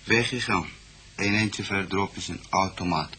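A wary, simple foreigner under questioning in a radio play. His voice is deep yet sharp-edged, and he answers in short, broken, halting phrases. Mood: recounting. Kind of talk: radio drama dialogue.

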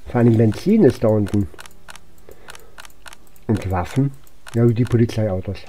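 A man with a very dark, mellow voice comments casually on a game he is playing. He talks as if thinking aloud, in an unhurried way, with a soft-edged, muted tone. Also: lowish voice, a little hiss or hum. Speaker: gaming video host. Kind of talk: casual let's-play commentary.

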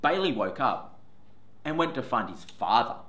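A man in his forties, a teacher, reading a picture book aloud to children, seated in a classroom. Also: mid-range voice. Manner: slow, expressive storytelling. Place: classroom, indoors. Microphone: camera in front, seated. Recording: echoey classroom.

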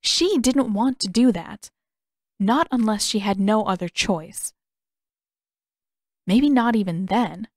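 A woman's voice: medium pitch, slow, long pauses, dry recording, clean studio sound. A woman reading fan fiction aloud as scripted audiobook narration. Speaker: podfic narrator.

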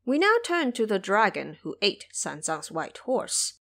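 A woman's voice: medium pitch, big pitch swings, measured pace, gravelly, a bit bright. Woman narrating a dramatic tale. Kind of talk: scripted dramatic story narration.

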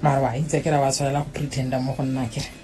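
A supportive friend in a radio drama. Her voice is very low for a woman, and she speaks frankly and confidingly. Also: level tone, rapid-fire, warm tone. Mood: concerned, supportive. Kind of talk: Setswana radio drama acting.

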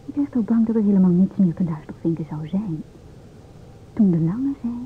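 An actress playing a combative, know-it-all young stewardess in an old radio play, with an emphatic, theatrical delivery. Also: female voice, mid-range voice, expressive, a little fast, natural voice. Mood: confiding narration. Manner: emphatic, self-assured, theatrical. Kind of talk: old-time Dutch radio play acting. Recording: old radio recording, narrow tinny band, hiss.

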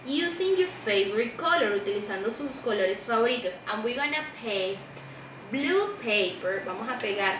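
A woman's voice: fairly high pitch, animated, measured pace, reverberant, recorded from a distance, noisy background. A young woman teaching preschool children in an online class, speaking patiently and repeating each word in two languages with frequent "ok?" checks. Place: small room indoors, seated beside a monitor.

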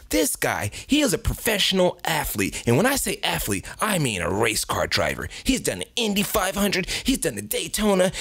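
A man's voice: sits high, gravelly, fairly bright tone, slightly rough. A man hosting a comedic talk radio show, introducing and questioning guests.

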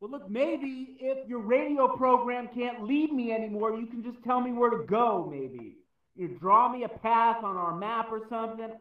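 Anxious everyman, high-pitched and echoey. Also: animated, moderate pace, a little hiss or hum. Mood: hesitant, hopeful. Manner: rambling, hesitant, earnest. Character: anxious, pleading, well-meaning everyman on a mission. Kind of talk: VR game radio-drama acting. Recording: echoey, distant, muffled.

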